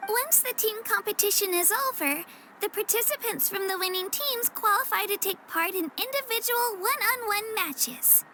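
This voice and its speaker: A woman's voice: extremely high pitch, moderate pace, sharp tone, a little hiss or hum. A woman voicing an earnest, modest young warrior girl in a video game, youthful and a little hesitant. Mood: friendly, explanatory. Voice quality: youthful, girlish. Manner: earnest, self-effacing, hesitant. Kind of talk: video game character voice acting.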